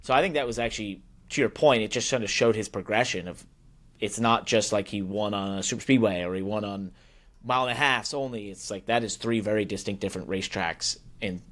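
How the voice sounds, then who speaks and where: Lower-voiced man co-hosting a racing podcast. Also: fairly quick, fairly bright tone. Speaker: motorsports podcast co-host. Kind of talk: casual sports discussion.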